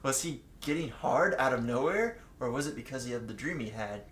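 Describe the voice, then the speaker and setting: A man's voice: medium pitch, expressive, fairly quick, fairly bright tone. A man reading fan fiction aloud for laughs, playful and often tripping over the words. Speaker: reader, co-host. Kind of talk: comedic read-aloud of fan fiction with joking asides. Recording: roomy echo, faint background noise.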